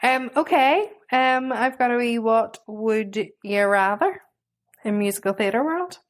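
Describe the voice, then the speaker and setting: A woman's voice: animated, a little slow. A woman co-hosting a podcast, chatting casually and easily. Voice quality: low alto singing voice. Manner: chatty, easygoing. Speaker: podcast co-host. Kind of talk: casual chat.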